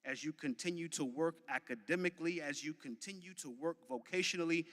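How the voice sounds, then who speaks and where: A man in his early thirties with an American accent gives a motivational commencement speech, emphatic and deliberate, with repetition and dramatic pauses like a preacher. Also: on the high side, fairly bright tone. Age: early 30s. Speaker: graduation commencement speaker. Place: large venue, graduation ceremony. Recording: long hall reverb from a PA.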